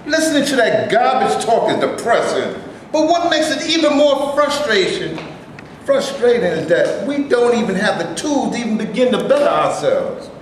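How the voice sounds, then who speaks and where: A man playing an earnest, determined actor and would-be organizer in an animated staged play reading. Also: extremely high pitch, normal speed. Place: indoor room, live staged reading. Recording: echoey room, faint noise.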